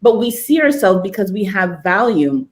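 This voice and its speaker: Woman co-host, earnest and encouraging, mid-pitched, casual podcast talk. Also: big pitch swings, normal speed. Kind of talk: casual faith podcast chat.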